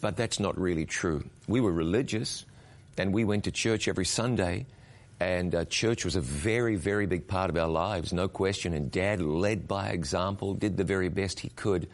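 A man's voice: low voice, moderate pace. A middle-aged man, a guest telling his story in an earnest, reflective way. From New Zealand. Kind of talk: interview conversation.

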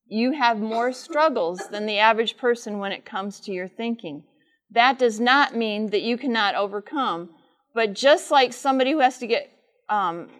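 A middle-aged woman with a loud voice speaks live to a conference audience, teaching and asking them frequent questions. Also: medium pitch. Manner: says she has a loud voice. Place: large conference room with air conditioner.

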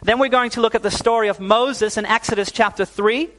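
A man's voice: sits high, steady tone, brisk, slight background noise, rough recording. A young man from New Zealand preaching a sermon as pastor to a congregation, often saying "uh" and calling for "amen". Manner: warm, fillers like "uh", calls for "amen".

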